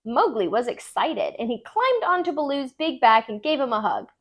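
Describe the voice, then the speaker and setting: A woman narrating a children's storybook aloud in an expressive, deliberate storytelling manner. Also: high voice. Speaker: tutor reading aloud.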